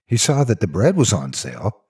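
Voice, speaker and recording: A man tells a scripted story with theatrical, mock-serious delivery. His voice sits fairly low with a husky, rough grain, and it rises and falls in big animated sweeps. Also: moderate pace. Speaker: narrator of horror stories.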